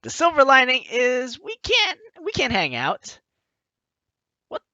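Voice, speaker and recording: A woman's voice: high voice, huge pitch swings, slow, pushed and bright, no room sound, muffled recording. A woman hosting a gaming video, reading game text aloud with playful, theatrical asides and casual commentary.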